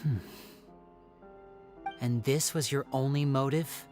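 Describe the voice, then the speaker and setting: A woman's voice: extremely low pitch, fairly even intonation, takes it slowly, over music. A woman voicing a cool, probing young male magician in a video game, composed and lightly taunting. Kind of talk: dramatic video game voice acting.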